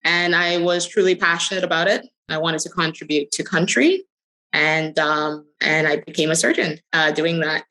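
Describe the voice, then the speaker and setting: A middle-aged woman answering interview questions conversationally, telling her story in short phrases with hesitant pauses. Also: sits low, sharp tone, poor audio. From the Bahamas. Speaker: interview guest, crypto company executive.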